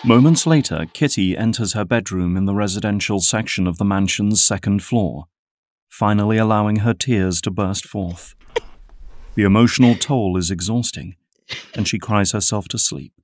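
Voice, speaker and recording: A man's voice: fairly low pitch, normal speed, a little mellow, no room sound, studio quality. A man delivering scripted dramatic narration as the narrator of an audio drama.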